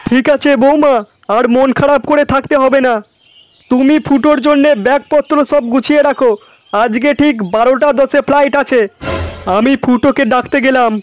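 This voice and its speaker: A man voicing a scolding, long-winded old father in a comedy cartoon, with a pitched-up voice. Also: steady tone, moderate pace. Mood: businesslike. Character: nagging, scolding old village father, comically crude.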